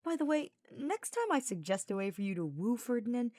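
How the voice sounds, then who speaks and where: Casting: woman playing an easygoing male fantasy knight, informal teaser, raised pitch, close dry sound. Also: big pitch swings, fairly quick, darkish tone, no room sound. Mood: huffy. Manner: slangy, informal, needling. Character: teasing, exasperated, easygoing young male knight.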